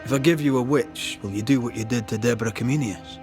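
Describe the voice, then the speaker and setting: An actor playing a shrewd ghost hunter in a dramatic video game, confronting authority with deliberate, eloquent, accusing speech. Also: male voice, mid-range voice, over music, lightly acted. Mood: measured challenge. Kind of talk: dramatic game storytelling and interrogation.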